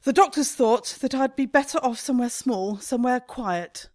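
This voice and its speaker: A woman's voice: a little high, normal speed, darkish tone, no echo, studio quality, close to an everyday voice. A woman acting in a radio play as a stressed, despairing shop owner, weary, clipped and self-pitying. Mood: resigned, despairing.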